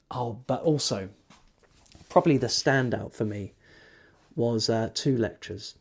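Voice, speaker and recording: Guy chatting away about his weekend, lots of ums, restarts himself a lot, middle pitch. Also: a little mellow. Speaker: magician reviewing a convention. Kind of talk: casual rambling recap.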